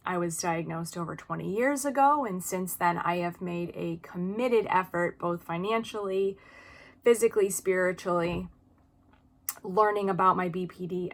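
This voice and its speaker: A woman's voice: medium pitch, a bit bright. A woman in her early forties hosting an informal advice video, talking earnestly and with emphasis from personal experience. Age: early 40s. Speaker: video channel host.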